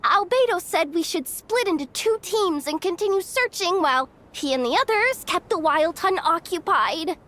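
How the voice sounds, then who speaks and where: A woman voicing a small, childlike fairy companion in game dialogue, fretful, cute and expressive. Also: extremely high pitch, moderate pace, hardly any pauses. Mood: flustered reporting.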